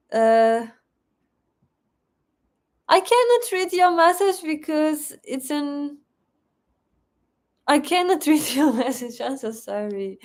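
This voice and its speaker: A young Russian-accented woman hosting a casual live stream, reading out viewers' questions and answering them one by one. Her voice sits a little high and she talks in an unhurried, easy way, stopping often to thank her viewers. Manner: chatty, reads chat questions aloud and thanks donors. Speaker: livestream host.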